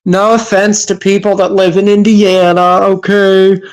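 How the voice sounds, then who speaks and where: A woman's voice: medium pitch, flat delivery, a little slow, a little mellow. A woman from Massachusetts hosting a livestream, reacting to clips with sarcastic, scolding commentary and frequent hesitations.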